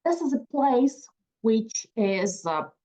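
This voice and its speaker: A Ukrainian woman in her forties, a native Russian speaker, giving a conference talk in a reflective way, with restarts and soft laughs. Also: on the high side, big pitch swings, slow pace, poor audio. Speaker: museum speaker.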